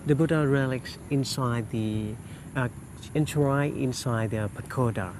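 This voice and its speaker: A man's voice: medium pitch, normal speed. Thai-accented guy explaining history outside, traffic noise behind. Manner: explanatory, informative. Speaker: tourism official guest. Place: outdoors at a temple.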